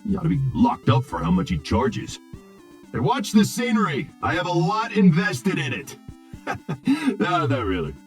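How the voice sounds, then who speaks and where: A vain robot Hollywood director from a video game, grumbles in a fairly high male voice. The delivery is theatrical and acid-tongued, with very lively swings in pitch. Faint music plays underneath. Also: a little slow, exaggerated voice. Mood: sardonic.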